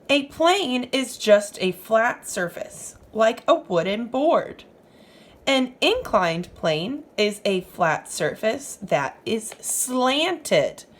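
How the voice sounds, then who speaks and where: Slow, expressive woman reading aloud to children. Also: fairly high pitch. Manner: instructive, asks the listener questions. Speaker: teacher reading a picture book aloud. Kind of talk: read-aloud for young children with explanatory asides.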